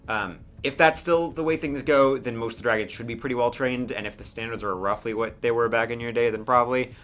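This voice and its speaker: A man's voice: mid-range voice, a little fast, a bit bright. A man narrating a tabletop game as game master in a casual, improvised way, often checking in with his listeners.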